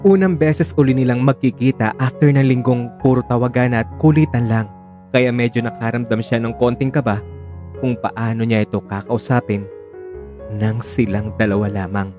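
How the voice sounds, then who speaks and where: A man's voice: medium pitch, steady tone, moderate pace, a little mellow. A man with a Filipino accent giving scripted story narration for a radio drama. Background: piano music.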